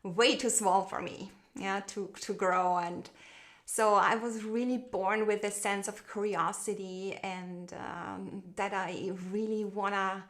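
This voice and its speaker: A woman's voice: medium pitch, steady tone, slow pace. Austrian woman telling her story. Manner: warm, reflective, frequent fillers and restarts. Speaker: guest, branding expert. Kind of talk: casual conversational storytelling over video call.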